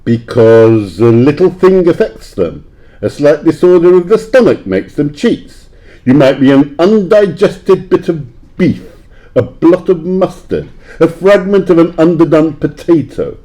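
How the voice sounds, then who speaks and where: A man playing a grumpy, frightened old miser in an audio play, theatrical and shifting between gruff scorn and pleading. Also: fairly high pitch, lively intonation, measured pace, warm tone. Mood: scornful, dismissive. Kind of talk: library audio play acting.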